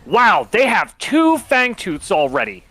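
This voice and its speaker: A man commentating an esports match, explaining plays in a relaxed, casual way. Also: sits high, a little slow.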